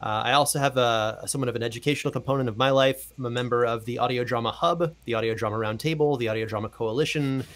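A man's voice: medium pitch, fast talker, hardly any pauses, a bit bright. Male, 30s, American. Mid-range voice, modest and easygoing, interview guest on a close studio mic. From Portland, Oregon, United States. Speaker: guest, audio fiction producer. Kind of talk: casual interview introduction. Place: indoor room, video call. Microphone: close studio mic with headphones.

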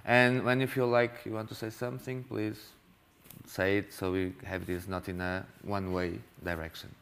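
Man in his thirties, workshop leader, gravelly voice, somewhat low, halting delivery. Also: little pitch movement, fairly bright tone. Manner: halting, restarts often. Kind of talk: masterclass talk. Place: classroom with projector.

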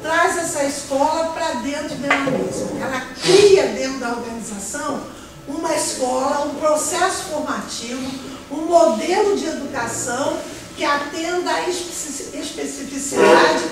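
High-voiced woman lecturing, echoey room. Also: unhurried. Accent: Brazilian Portuguese. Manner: explanatory, repeats and restarts phrases, checks in with "né?". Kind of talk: classroom lecture. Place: large classroom with a projector. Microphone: no mic, far camera. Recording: echoey room from far off, noisy.

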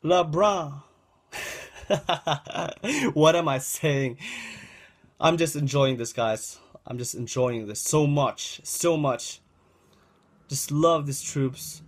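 A young man talks through his gameplay in a slightly higher-than-usual voice, rambling and repeating his phrases as he speaks straight to viewers. His throat sounds dry. He is recorded indoors on a home webcam setup. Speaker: gaming channel host. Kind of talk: casual gameplay commentary.

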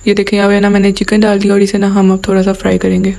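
A woman's voice: mid-range voice, monotone, racing, vocal fry, mellow. A young woman, chatting casually through a home cooking voice-over. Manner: chatty, lots of filler "na", giggles. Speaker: cooking vlogger.

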